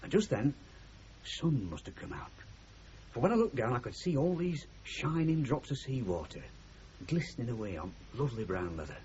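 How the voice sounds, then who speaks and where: An actor playing a blunt, sentimental middle-aged working-class husband with a Northern English accent in a radio comedy drama. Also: male voice, medium pitch, big pitch swings, normal speed, darkish tone, natural voice. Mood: wistful, reminiscing. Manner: blunt, emphatic, plain-spoken. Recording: muffled 1970s radio drama recording, noisy.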